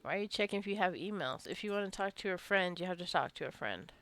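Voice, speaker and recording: A woman's voice: lowish voice, fast, a bit bright. Chatty woman doing casual gameplay commentary. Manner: playfully scolding, talks to the game characters. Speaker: gamer narrating her own gameplay video. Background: life simulation game being played.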